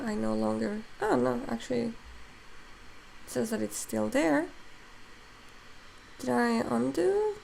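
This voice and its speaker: Mexican woman demoing software, gravelly voice. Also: fairly high pitch, slight background noise, rough recording. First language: Spanish. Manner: thinks aloud while working, many fillers. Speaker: digital sculpting instructor. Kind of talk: casual live-stream software demo.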